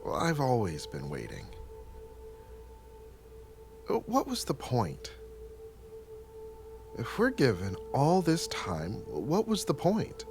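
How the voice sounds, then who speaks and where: A man acting a regretful, lonely character looking back on lost time, speaking haltingly and with sighs. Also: mid-range voice, wildly swooping pitch, music in the background, heavily acted. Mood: regretful, anguished. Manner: halting, sighing, wistful.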